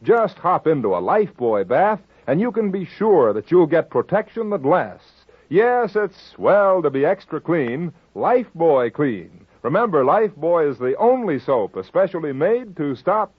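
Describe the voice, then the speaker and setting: Tinny husky vintage radio adman. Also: a little high, big pitch swings, unhurried. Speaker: commercial announcer. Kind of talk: 1940s radio advertisement. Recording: tinny, phone-like old radio recording.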